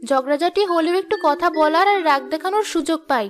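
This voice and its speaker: A woman's voice: extremely high pitch, fast. A woman playing a proud, naive and discontented young wife in a cartoon fairy tale, plaintive and petulant. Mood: sulky, plaintive. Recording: music behind the voice.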